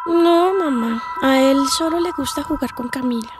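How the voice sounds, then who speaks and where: A woman's voice: high voice, normal speed, background noise, natural voice. A woman voicing an innocent, playful little Colombian girl in a radio soap opera. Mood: innocent, hesitant.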